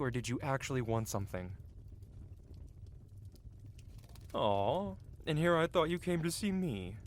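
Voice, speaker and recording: Guy acting as a flirty smug blacksmith in a medieval fantasy asmr, mid pitched male voice, playful and dramatic, bit of background noise. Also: close to an everyday voice. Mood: teasing. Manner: teasing, theatrical, archaic fantasy phrasing. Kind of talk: scripted ASMR fantasy roleplay.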